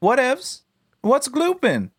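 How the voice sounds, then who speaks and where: A woman's voice: sits high, wildly swooping pitch, slow pace, husky, heavily acted. A woman playing a laid-back, friendly slacker, drawling and nonchalant with slangy talk, in a comic audio drama. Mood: casual, oblivious.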